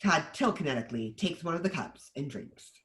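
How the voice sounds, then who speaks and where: Animated screenplay narrator, medium pitch. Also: a little fast. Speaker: stage-direction narrator. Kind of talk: screenplay table-read narration.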